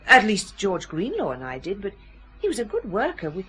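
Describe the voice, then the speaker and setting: An actress playing a candid, brisk office cashier, direct and plain-spoken in an audio drama. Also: female voice, mid-range voice, huge pitch swings, a bit bright, faint music behind, poor audio. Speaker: actress in a radio detective drama.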